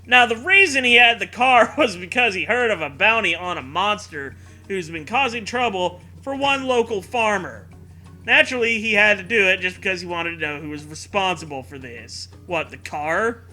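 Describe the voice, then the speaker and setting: High bright guy riffing on bad fanfic, sarcastic, laughs while talking, music behind him. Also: normal speed. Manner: animated, sarcastic, often laughing through words. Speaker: podcast co-host. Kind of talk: comedic riffing while reading fan fiction aloud.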